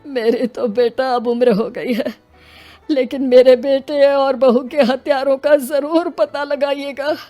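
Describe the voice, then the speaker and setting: A grieving elderly village headman in a moral story, played by a woman with a high voice. He is mournful and pleading, with faint music underneath. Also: fairly even intonation, takes it slowly, warm tone. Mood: sorrowful. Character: grieving elderly village headman who lost his son.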